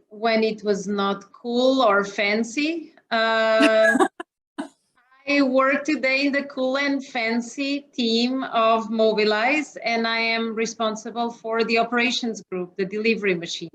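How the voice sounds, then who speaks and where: Guest: woman, Portuguese accent, slightly high voice, halting and filler-heavy, dull lo-fi call audio. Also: fairly even intonation, fairly bright tone. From Mozambique. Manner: hesitant, many fillers, laughs easily. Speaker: interview guest, operations executive. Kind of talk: casual video-call interview. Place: home office. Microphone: video call. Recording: muffled lo-fi video call audio.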